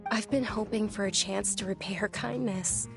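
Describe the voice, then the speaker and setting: A woman voicing a gentle, earnest young woman who speaks in a soft, reflective way in a video game. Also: medium pitch, steady tone, moderate pace, creaky, over music, close to an everyday voice. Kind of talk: game voice acting.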